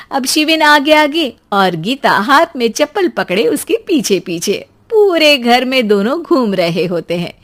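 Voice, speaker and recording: A woman narrates a comic cartoon tale in a fairly high voice. The delivery is scripted storytelling.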